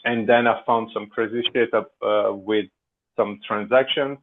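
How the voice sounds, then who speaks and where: A man's voice: on the low side, fairly even intonation, unhurried. A man with an accent mixing French and Portuguese, chatting casually as a podcast guest, hesitant with many fillers. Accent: French and Portuguese mix. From France. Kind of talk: casual chat. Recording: tinny phone-like line.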